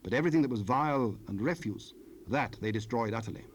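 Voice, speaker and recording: Casting: male scripture narrator, mid-range, solemn and measured, old cassette noise with ambient effects behind. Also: mid-range voice, animated. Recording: noisy cassette rip, ambient sound.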